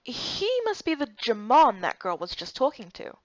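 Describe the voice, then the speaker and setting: Casting: female story narrator, fairly high voice, scripted delivery, very dry home recording. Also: wildly swooping pitch, normal speed, no room sound, dull audio. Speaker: game playthrough narrator.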